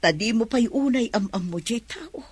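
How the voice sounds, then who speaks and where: A woman playing a worried, cautioning mother with a husky voice in a radio drama. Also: a little high, expressive, moderate pace, darkish tone. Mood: admonishing.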